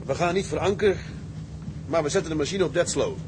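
A man's voice: fairly high pitch, fairly quick, lightly acted. Decisive tugboat captain played by a man. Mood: briefing, authoritative. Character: decisive, level-headed male tugboat captain giving orders. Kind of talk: Dutch radio drama acting. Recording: clear engine and sea ambience noise.

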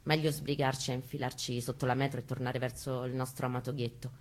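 A woman's voice: extremely low pitch, level tone, fast, a little mellow. A woman reading a first-person narrative aloud in an intense, theatrical, emphatic way. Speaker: stage reader and narrator. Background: music accompaniment, guitar.

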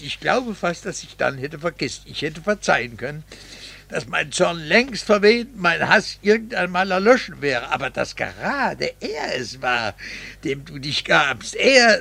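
Bitter jealous husband, old german radio play, creaky highish voice, really dry sound, scornful stagey delivery. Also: male voice, bright, forceful tone, dry recording, full character voice. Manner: theatrical, scornful, formal stage diction. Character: bitter, jealous husband, long resentful of unfaithful wife.

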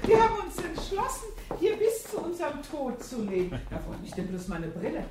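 A woman's voice: high voice, huge pitch swings, a little fast, very noisy. An actress playing a sugary, scheming lady of the house in a German radio drama, genteel and gushing.

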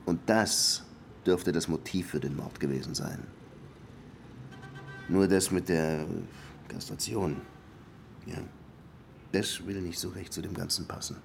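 Deep-voiced, blunt police lieutenant. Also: male voice, steady tone, measured pace, gravelly, long pauses, music in the background. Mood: pensive. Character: blunt, sardonic male Cuban police lieutenant. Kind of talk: radio drama acting.

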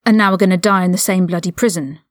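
A woman's voice: mid-range voice, lively intonation, a little fast, dry recording, clean studio sound, natural voice. A woman voicing a hot-headed, loyal eighteen-year-old male wizard prisoner with blunt, indignant, informal speech in a story reading. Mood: angry.

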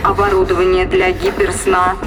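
A woman's voice: on the low side, completely flat delivery, moderate pace, natural voice. A woman voicing a spaceship computer, formally announcing system alerts in an audio drama. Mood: impersonal alert. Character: female ship computer voice announcing damage, impersonal and repetitive. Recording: loud music and effects behind.

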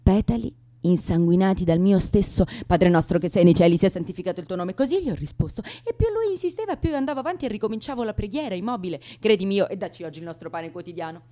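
A brazen, wounded young woman played by an actress delivers a confessional radio-theatre monologue. Her mid-pitched voice swings widely and abruptly, heavy with emotion, broken by sighs and gasps. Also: lively intonation, fast, few pauses, mellow, close to an everyday voice. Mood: bitter, defiant.

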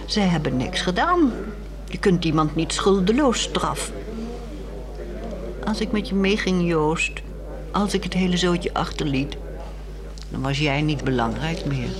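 Weary, resolute wife, measured and wry. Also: female voice, lowish voice, lively intonation, crowd noise. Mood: resolute, sad. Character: weary, resolute married woman in a secret affair. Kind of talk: 1960s radio drama acting.

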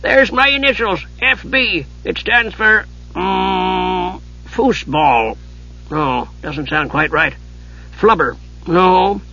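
A man's voice: sits high, lively intonation, slow pace, pushed and bright, highs cut off, voice pushed up, exaggerated voice. A man voicing a cranky, absent-minded old wizard who mutters and gets easily flustered in a fantasy audiobook. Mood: muddled.

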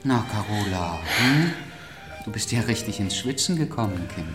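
An actor playing a sardonic aristocrat in a radio drama, dry and condescending in manner. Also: male voice, fairly low pitch, lively intonation, moderate pace, reverberant, over music, natural voice. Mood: amused, mocking. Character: sardonic male aristocrat, lord of a decaying manor.

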